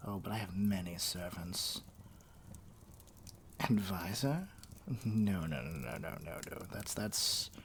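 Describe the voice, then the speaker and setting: A man's voice: low voice, slow pace, pauses often, slight background noise. A man playing a vain, scheming evil sorcerer king in an ASMR roleplay, with a pompous, condescending drawl. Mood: amused, musing.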